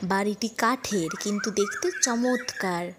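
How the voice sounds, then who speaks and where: A woman narrating a scripted story as host, in an expressive storytelling style. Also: medium pitch, unhurried, noisy background. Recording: music under the voice. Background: background music.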